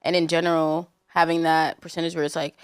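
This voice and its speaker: A woman's voice: sits low, little pitch movement, fairly quick, clean tone, no echo, clean studio sound. A young adult woman hosting a casual interview, talking in a slangy, playful and informal way. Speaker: podcast host.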